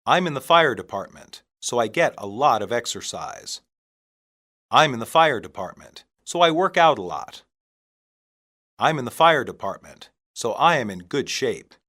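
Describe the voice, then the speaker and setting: A man reading scripted English practice phrases for learners, deliberately and in separated phrases. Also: medium pitch, very animated, sharp tone. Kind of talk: scripted language-lesson reading.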